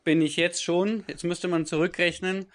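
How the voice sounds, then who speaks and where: High-voiced German man in his thirties. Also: steady tone, moderate pace. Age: 30s. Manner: rambling, self-correcting, frequent fillers. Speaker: guest. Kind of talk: conversational interview.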